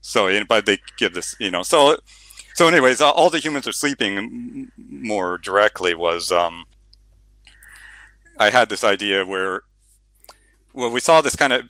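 A man's voice: low-pitched, pushed and bright, dry recording, poor audio. A middle-aged man, a novelist, talking casually about his book in an interview with many fillers and restarts, then reading a passage aloud. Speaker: novelist guest.

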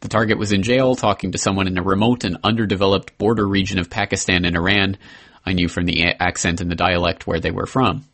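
A man hosting a podcast, reading a memoir excerpt aloud in an earnest, measured way with the odd slip and restart. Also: deep voice, fairly even intonation, a bit bright.

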